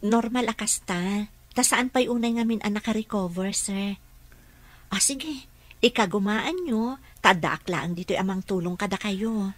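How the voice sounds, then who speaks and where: A woman's voice: medium pitch, a little slow, dry recording, close to an everyday voice. A woman acting as a polite, earnest caregiver and protective mother in a radio drama, with an Ilocano accent.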